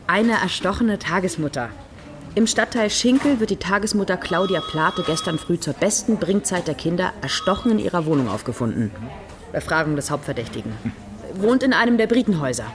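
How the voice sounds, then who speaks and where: A woman plays a police detective in a radio crime play. Her slightly low voice moves in animated swings as she delivers crisp, businesslike briefings with dry teasing. There is faint noise behind her. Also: brisk, lightly acted. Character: matter-of-fact female police detective, dryly teasing. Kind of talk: German radio crime drama acting.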